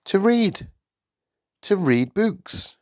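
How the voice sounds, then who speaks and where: A man's voice: sits high, very animated, extremely slow, no room sound, clean studio sound, pitched above the performer's own voice, full character voice. A man voicing a slim young woman schoolteacher in a storybook, polite and earnest but firm. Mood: challenging.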